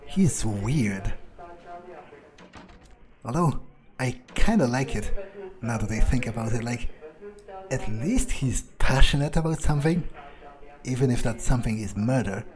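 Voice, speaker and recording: A man's voice: medium pitch, animated, unhurried, a little mellow, low-quality recording. A man roleplaying a game character in an improvised monologue, musing hesitantly to himself and swearing freely. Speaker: gamer roleplaying his character.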